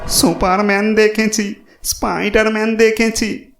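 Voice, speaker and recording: Casting: male narrator as scared, trembling village man, high-pitched and comic, horror cartoon, loud music behind. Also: level tone, measured pace, gravelly, echoey room. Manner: trembling, quavering.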